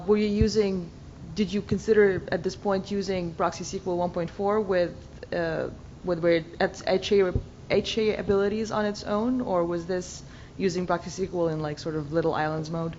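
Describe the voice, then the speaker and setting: A woman's voice: fairly low pitch, fairly even intonation, moderate pace, a little mellow. A woman in a conference audience asking the speaker a technical question. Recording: muffled, faintly noisy conference recording.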